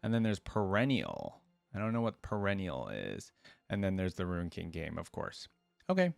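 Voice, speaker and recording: A man's voice: deep voice, huge pitch swings, normal speed, gravelly, dry recording. A man giving casual reaction commentary as the host of a video.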